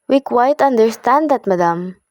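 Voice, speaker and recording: A woman's voice: fairly high pitch, animated, darkish tone, dry recording, lightly acted. A young woman acting a polite, formal, unhelpful hospital receptionist in an amateur radio play. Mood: polite, officious. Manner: measured, officious, courteous.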